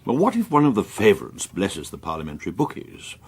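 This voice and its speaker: An actor playing a waspish upper-class intelligence agent in a radio drama, languid and arch in manner. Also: male voice, sits low, huge pitch swings, brisk, slightly rough, heavily acted. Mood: arch, amused. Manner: languid, arch, affected endearments.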